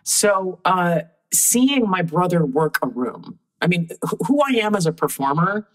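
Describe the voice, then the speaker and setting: A woman with a deep voice, a guest telling lively anecdotes in casual conversation and laughing often. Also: unhurried, rough recording. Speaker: guest puppeteer.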